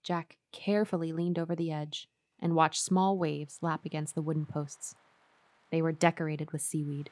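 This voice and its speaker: Brief: actress as quiet, trusting young woman, low voice, measured first-person narrator of an eerie radio horror play. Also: level tone, natural voice. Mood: subdued, uneasy.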